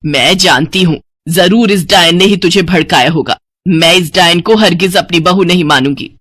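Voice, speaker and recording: A woman's voice: lowish voice, normal speed, a bit bright, dry recording. A woman narrator puts on a middle-aged mother-in-law in a storybook-style family drama. She is domineering and scornful, with a taunting, disapproving delivery in a close, dry recording. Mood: outraged.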